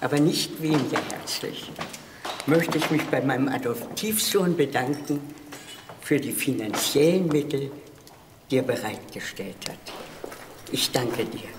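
Casting: elderly noble aunt, ceremonious and slow, in a reverberant hall. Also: female voice, a bass voice, expressive. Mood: proud, solemn. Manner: ceremonious, deliberate speech-giving. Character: gracious old aristocratic aunt, proud and affectionate. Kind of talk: crime drama acting. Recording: echoey hall, long reverb, noisy.

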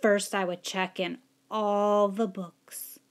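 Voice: mid-range voice, little pitch movement, takes it slowly, smooth. A woman voicing a mischievous storybook pigeon who pleads and wheedles with dramatic outbursts. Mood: eager scheming. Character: pleading, mischievous young male pigeon scheming to be librarian.

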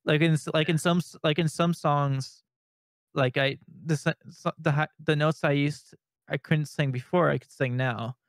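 A young man from Canada chatting as a podcast co-host, rambling with many restarts and nervous laughs, with a fairly high-sounding voice. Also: fairly even intonation, moderate pace, dry recording, clean studio sound. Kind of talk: casual podcast chat.

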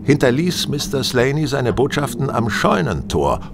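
A male actor playing a shrewd, self-assured detective who explains things in measured, eloquent detail in a German audio drama. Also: medium pitch, very animated, vocal fry. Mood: explanatory.

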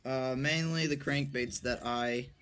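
Slow, even-toned young man outdoors. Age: young adult, late teens or early twenties. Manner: halting, low-key, trailing off while handling items. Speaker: amateur angler presenting his tackle. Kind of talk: casual unscripted show-and-tell. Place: covered wooden porch outdoors. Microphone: phone or camera at arm's length. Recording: noticeable outdoor background noise.

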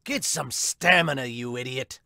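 A man's voice: medium pitch, a little slow, gravelly, pushed and bright, full character voice. A man voicing a brash, hot-tempered manga villain who snaps insults irritably. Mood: irate. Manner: snapping, insulting.

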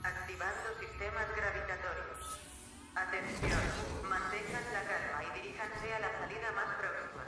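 An actor voicing a calm automated space station announcer in a game, measured, formal and impersonal, over a public-address system. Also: medium pitch. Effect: echoing public-address system. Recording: echoey, distant PA under loud music.

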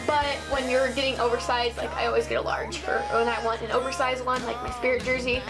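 A woman's voice: a little high, flat delivery, fast talker, over music. A young woman with a Southern Ohio twang, chatting casually to her webcam in a small bedroom, bubbly and gushing, full of ums and likes. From Midwest United States. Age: young adult. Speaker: vlogger. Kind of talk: casual chat to camera. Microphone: built-in webcam mic.

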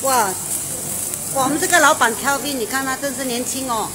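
A woman's voice: high voice, a little fast. Chatty woman hosting a food show. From Malaysia. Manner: chatty and enthusiastic, with frequent fillers and exclamations. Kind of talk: casual restaurant review chat. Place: inside a restaurant. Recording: noisy restaurant background.

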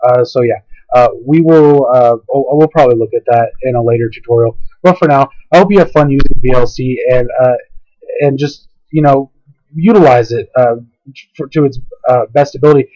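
A man narrating a casual, unscripted software tutorial, hesitant and rambling, his voice tiring as his throat gives out. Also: medium pitch, moderate pace, no room sound. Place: indoors at a computer, screen-capture voice-over. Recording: dull lo-fi home screen recording.

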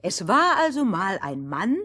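A woman narrating a scripted fairy tale for children in a deliberate, schoolmistressly manner. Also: medium pitch, very animated, pushed and bright, full character voice. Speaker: first storyteller.

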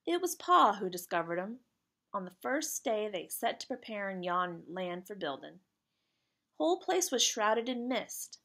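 Woman reading as a plucky, earnest storybook lad with gravelly edges. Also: mid-range voice, very animated, a little slow, fairly bright tone, exaggerated voice. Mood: proud storytelling. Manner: earnest, colloquial, dropped-grammar speech. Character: plucky, friendly working-class British boy, slangy storybook lad. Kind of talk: children's storybook character read aloud.

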